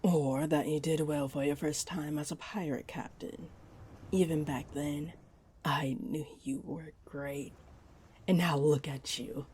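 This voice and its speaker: A woman voicing a flirty, possessive mermaid in an intimate roleplay audio drama, coaxing in breathy half-whispers. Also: low voice, steady tone, a little slow, darkish tone. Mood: adoring. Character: flirty, possessive, greedy female mermaid luring a pirate. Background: wave ambience.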